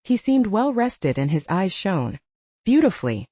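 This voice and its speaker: A woman's voice: mid-range voice, big pitch swings, unhurried, warm tone, slightly rough, no room sound, muffled recording. A woman reading first-person fiction as a scripted audiobook narration.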